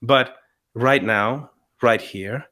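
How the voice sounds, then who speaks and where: A man's voice: mid-range voice, very slow, sharp tone. A man from Chile with a Chilean accent, co-hosting a faith podcast and talking in a reflective, casual way, often restarting his sentences. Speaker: podcast co-host.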